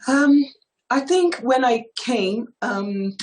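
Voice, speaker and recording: A woman from Ghana in her thirties, telling her life story as a guest in a hesitant, soft-spoken way, with frequent fillers. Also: on the high side, lively intonation, takes it slowly, slight background noise, low-quality recording. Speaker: guest giving testimony. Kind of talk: personal testimony in an interview.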